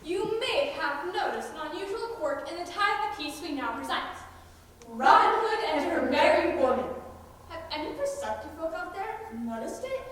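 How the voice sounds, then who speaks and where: An earnest, talkative female narrator, acted by a young woman in a stage play. She has a high voice and projects in an eager, presentational way. The sound is distant and heavily echoing, with slight noise. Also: lively intonation, normal speed, fairly bright tone. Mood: confiding, earnest. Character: chatty, earnest female narrator of merry band. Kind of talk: high school stage comedy acting. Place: school auditorium stage. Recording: echoey hall, distant mic, noisy.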